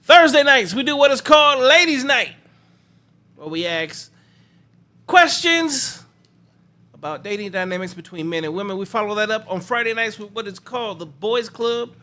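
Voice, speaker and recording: A man's voice: high-pitched, animated, moderate pace, sharp tone. A man hosting a casual talk show, hyped and teasing as he chats with his guest. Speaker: podcast host. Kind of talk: casual chat.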